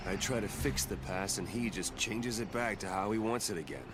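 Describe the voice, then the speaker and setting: An actor playing a cocky, big-hearted young hero in a video game cutscene, casual and bold. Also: male voice, fairly low pitch, normal speed, noisy background, lightly acted. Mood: serious. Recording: game dialogue under loud music.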